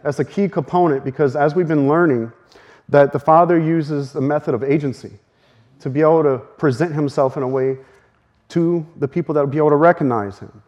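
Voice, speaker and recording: Man, preacher, earnest sermon, slightly strained voice, somewhat high. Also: highs cut off. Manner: earnest, with fast bursts and soft laughs. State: voice a little strained. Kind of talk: sermon teaching.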